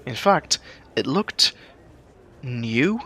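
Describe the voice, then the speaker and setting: A man's voice: mid-range voice, big pitch swings, very slow, sharp tone. A man reading scripted story narration as the narrator of a fiction tale.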